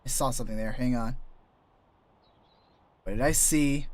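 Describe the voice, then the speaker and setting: A man streaming as a VTuber, chatting casually while he plays, his voice a little raspy and tired from days of voice work, full of loose asides and restarts. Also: medium pitch, normal speed, a little mellow, no room sound. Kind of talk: casual rambling while gaming.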